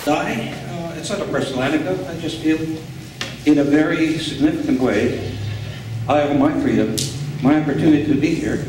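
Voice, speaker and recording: A man from Ukraine asking a question from the audience floor, halting and searching for words. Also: a little high, noisy background. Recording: echoey hall, voice far from mic.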